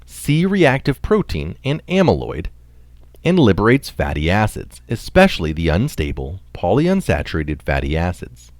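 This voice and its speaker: Male article reader, deep register with lively intonation, scripted science narration, very dry sound. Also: dry recording.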